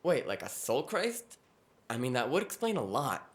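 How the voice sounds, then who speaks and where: A man's voice: mid-range voice, big pitch swings, normal speed. A man playing a flippant, sarcastic, cocky hacker in a cyberpunk audio drama. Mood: casual. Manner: wisecracking, casual, sarcastic.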